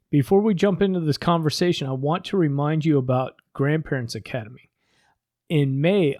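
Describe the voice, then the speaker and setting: A man's voice: fairly high pitch, a little mellow, dry recording, studio quality. An older man hosting a podcast, a little stuffy and plugged up from a head cold, speaking in an upbeat, friendly way. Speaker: podcast host. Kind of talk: casual podcast interview.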